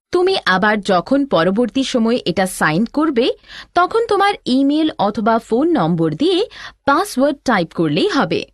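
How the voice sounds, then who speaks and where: A woman's voice: high voice, dry recording, clean studio sound. A woman playing a helpful elder sister, giving scripted, reassuring instruction in an educational cartoon. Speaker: tutorial narrator and teacher.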